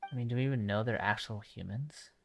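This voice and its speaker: A man's voice: on the low side, quick pace, gravelly, a bit bright, a little hiss or hum. A man giving casual, off-the-cuff commentary while livestreaming a video game.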